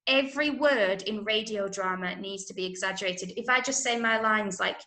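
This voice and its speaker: A woman with an English accent teaching an online drama workshop, enunciating clearly and coaching with encouragement. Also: medium pitch, moderate pace, bright, forceful tone. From Manchester, England. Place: indoor room, online video call. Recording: roomy, echoing video-call sound.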